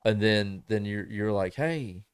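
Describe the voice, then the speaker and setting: A man hosting a casual podcast chat, laid-back and rambling, laughing often, his voice slightly raspy. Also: fairly low pitch, a little slow. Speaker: podcast host.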